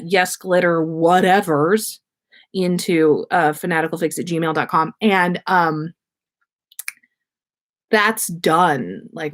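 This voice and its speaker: A woman hosting a livestream in casual chat, rambling and effusive, with slangy talk and free swearing. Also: on the low side. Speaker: podcast host.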